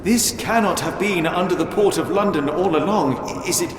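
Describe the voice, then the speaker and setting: An actor playing a shrewd, driven private detective in a video game, speaking in a formal, precise and coolly commanding way. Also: male voice, high-pitched, normal speed, noisy background, natural voice. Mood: uneasy, awed. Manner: formal, precise, deductive delivery. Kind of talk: dramatic video game voice acting. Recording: music bed under the voice.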